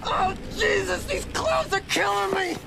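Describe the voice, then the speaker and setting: A man's voice: very high voice, expressive, a little slow, creaky, noisy background, heavily acted. An actor playing a young man crying out in agony as he turns into a werewolf in a horror radio drama. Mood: agonised, panicked.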